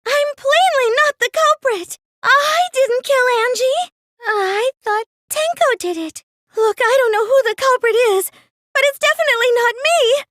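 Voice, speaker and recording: A woman's voice: very high voice, animated, measured pace, a bit bright, no room sound, studio quality, full character voice. A woman voicing a plain, meek, nerdy teenage girl in an anime mystery video game, speaking in a mild, hesitant, questioning way. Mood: anxious, defensive. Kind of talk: anime video game English dub acting.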